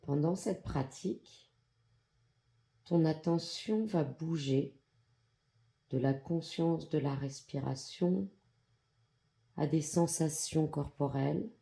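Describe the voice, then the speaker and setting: A yoga nidra guide with an androgynous voice leads a guided meditation in a calm, soothing, measured manner with long pauses. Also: mid-range voice, gravelly. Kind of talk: guided meditation, soft instructional narration.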